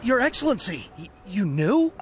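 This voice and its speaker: A man's voice: sits high, sing-song, moderate pace, very noisy. A man voicing an earnest, devoted soldier in a video game, speaking deferentially and intensely to a superior. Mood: shocked. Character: earnest, devoted soldier addressing a revered commander.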